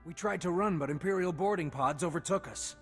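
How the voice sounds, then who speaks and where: An actor playing a grim, weary frigate captain in a video game. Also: male voice, high-pitched, a little fast, faint music behind. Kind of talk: video game cutscene acting.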